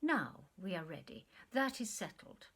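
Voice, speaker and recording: A woman reading a storybook part as an earnest, sentimental man who speaks gravely, wistfully and haltingly to a former sweetheart. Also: sits high, sing-song, a bit bright, heavily acted. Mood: warm, teasing.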